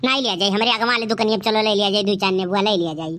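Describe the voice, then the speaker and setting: A woman's voice: mid-range voice, rapid-fire, a bit bright, slight background noise, natural voice. A woman voicing a huffy, haggling village housewife in a cartoon comedy, complaining in an Awadhi accent. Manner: complaining, bickering.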